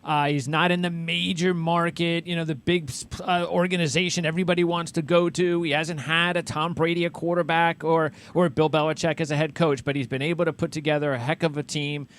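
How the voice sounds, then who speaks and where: An American man with a slightly high voice hosts a sports interview show. He talks in an enthusiastic, conversational way, winding through long questions before he lands on the point. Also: little pitch movement, brisk, hardly any pauses, a bit bright. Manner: wordy, rambling questions.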